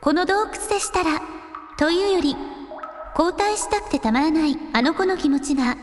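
A woman's voice playing a gentle, devoted young shrine maiden in a radio drama, speaking very formally. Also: very high-pitched, animated, normal speed. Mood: polite, composed. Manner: very polite, formal speech. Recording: long hall reverb with music.

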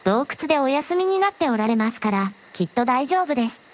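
A woman playing a gentle, very polite dragon princess with a softly teasing manner in a scripted audio drama. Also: high-pitched, big pitch swings, brisk. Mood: gentle, earnest. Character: gentle polite dragon princess, a shrine maiden's alternate self.

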